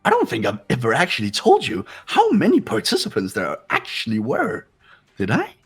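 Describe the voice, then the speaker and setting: A man's voice: fairly high pitch, huge pitch swings, normal speed, vocal fry, slightly rough, soft background music, voice pushed up, full character voice. A man voicing a gleefully menacing, mocking robot bear headmaster in a fan-dubbed game, theatrical and teasing in sing-song swoops. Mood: smug, teasing. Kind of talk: fan voice acting of a visual novel game.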